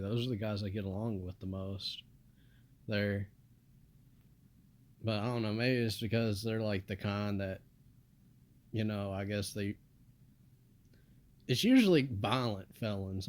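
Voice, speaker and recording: Monotone, mumbling young man. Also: lowish voice. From United States. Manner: monotone, flat delivery with mumbled asides. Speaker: vlogger. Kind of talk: casual rambling monologue.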